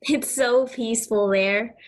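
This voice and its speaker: Lady doing a sweet innocent little girl in an audio drama, high voice, slow and sincere, bit hesitant. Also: fairly even intonation. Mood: curious, hesitant. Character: earnest, affectionate young girl, innocent and pleading. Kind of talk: audio play acting.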